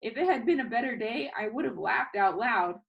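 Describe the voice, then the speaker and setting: A woman reading a storybook aloud as a teacher, with expressive narration and an informal manner. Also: on the high side, brisk, vocal fry, lo-fi sound. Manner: informal, chatty asides.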